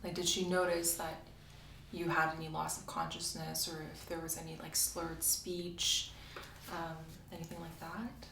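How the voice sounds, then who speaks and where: A soft-spoken woman doing an ASMR medical roleplay as a doctor, asking methodical questions in a reassuring way. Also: low-pitched, little pitch movement, normal speed, vocal fry. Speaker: ASMR creator playing a doctor. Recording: roomy, distant mic, faint hiss.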